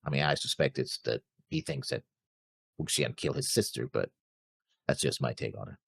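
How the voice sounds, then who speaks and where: A man's voice: very deep voice, huge pitch swings, brisk, gravelly, breathy edge, no echo. A man co-hosting a reaction show, casually discussing and reading out his notes.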